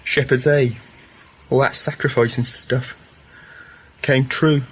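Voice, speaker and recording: An actor playing a fallen young First World War soldier in a radio play, haunted and wryly joking, with a plain-spoken, reflective way of talking. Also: male voice, mid-range voice, steady tone, slow, fairly bright tone, breathy edge, rough recording. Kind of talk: naturalistic radio play acting.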